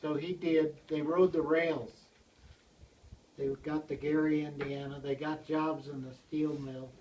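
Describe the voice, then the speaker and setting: A man's voice: on the high side, little pitch movement, measured pace, a little mellow, a little hiss or hum. Older man telling a chuckling story from afar. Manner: rambling, chuckles as he tells it. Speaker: blacksmith telling stories. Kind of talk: casual storytelling to a small group. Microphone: handheld video camera. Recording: distant from the mic, roomy.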